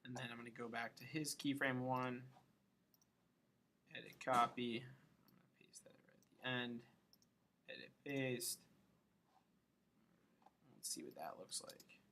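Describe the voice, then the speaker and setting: A man giving a casual software tutorial, thinking aloud and loosely muttering to himself between steps as he works. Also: mid-range voice, unhurried, vocal fry, long pauses, slight background noise. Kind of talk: casual screen-recorded tutorial, thinking aloud while working.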